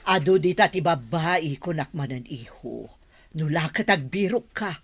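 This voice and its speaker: A stern, scornful rich mother in middle age, played by a woman for an audio drama. Her voice is low and raspy, with forceful, swooping delivery. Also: animated, normal speed, exaggerated voice. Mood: scheming, scolding. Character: stern, scornful wealthy mother of a grown son. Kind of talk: Ilocano audio drama acting.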